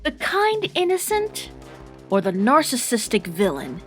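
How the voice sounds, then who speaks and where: A woman's voice: on the high side, huge pitch swings, a little slow. A sinister fox creature, sly and playful. Her musing lines are drawn out and swoop up and down, with music underneath in this audio drama. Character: sinister, playful vixen spirit watching from the shadows.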